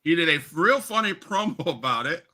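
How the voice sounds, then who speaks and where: Bright-voiced male podcast host. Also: a little high, expressive, fairly quick. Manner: friendly, reads questions and texts aloud. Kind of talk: casual podcast interview.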